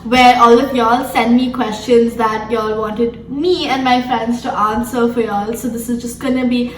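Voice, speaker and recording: A woman's voice: fairly high pitch, fairly even intonation. A young woman hosting a casual Q and A vlog in a room of her house, chatty and giggly, recorded by a camera mic some distance away. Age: young adult. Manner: chatty, giggly, quick asides. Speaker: vlogger host. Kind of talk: casual Q and A chat. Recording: echoey room, far from the mic.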